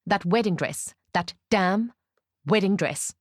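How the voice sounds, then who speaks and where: A woman voicing a weary, exasperated old woman with a gruff edge, in a dramatic story reading. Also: medium pitch, slow, no echo, clean studio sound.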